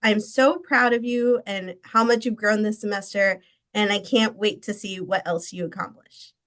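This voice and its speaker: A woman's voice: medium pitch, fairly even intonation, moderate pace, fairly bright tone. A woman presenter gives emphatic, encouraging praise and introduces the next speakers. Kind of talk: upbeat spoken praise and introductions.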